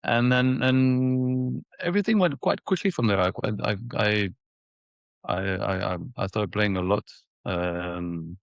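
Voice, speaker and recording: Low, creaky-voiced middle-aged man. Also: dry recording, lo-fi sound. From Ethiopia and Mauritius. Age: 40s. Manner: halting, repeats words as he thinks. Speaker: interview guest, former professional tennis player. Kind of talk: casual interview, reminiscing.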